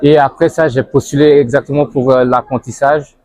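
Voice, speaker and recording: A man's voice: medium pitch, fairly even intonation, brisk, a little mellow, no echo. A young man giving casual interview answers, piecing them together with hesitations. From Zurich, Switzerland. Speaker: interviewee, banker and model.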